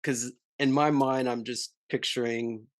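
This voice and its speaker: A young man hosting a podcast interview, talking in a relaxed, friendly way with a few fillers. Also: medium pitch, measured pace, dry recording, studio quality. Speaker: podcast host. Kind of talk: casual interview.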